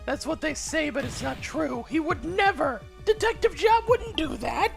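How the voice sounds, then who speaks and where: Woman acting a high, excitable brash lady detective. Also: normal speed, creaky, faint music behind, exaggerated voice. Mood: urgent. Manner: emphatic, defensive, excitable. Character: brash, headstrong young female detective, highly strung.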